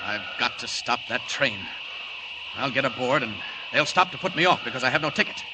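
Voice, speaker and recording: An actor playing a resolute flying superhero thinking aloud in old radio drama, in clipped, determined phrases. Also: male voice, mid-range voice, lively intonation, natural voice. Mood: alert, resolute. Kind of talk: 1940s radio drama acting. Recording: loud rushing noise, old radio recording.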